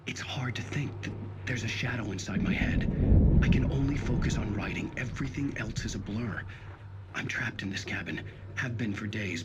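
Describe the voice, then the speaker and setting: An actor playing a troubled, haunted male writer, giving grave, measured first-person narration in a video game. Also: on the low side, natural voice.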